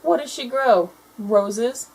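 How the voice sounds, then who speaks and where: A woman's voice: a little high, slow, a little mellow, slightly rough, pitched above the performer's own voice, exaggerated voice, rough recording. A woman voicing a brash, boastful and scornful young schoolboy in a children's storybook. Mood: boastful, teasing.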